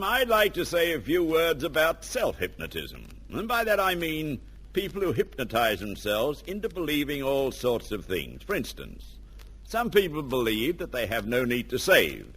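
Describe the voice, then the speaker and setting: A man's voice: mid-range voice, fairly bright tone, slightly rough. A man reading a scripted sponsor message in old-time radio style. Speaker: radio advertisement announcer.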